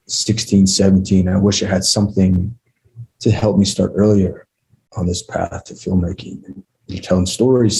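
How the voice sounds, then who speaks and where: A man giving informal, heartfelt remarks as a guest speaker, reflective and earnest, with halting phrasing. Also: sits low, dark tone, rough recording. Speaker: guest speaker, screenwriter and mentor.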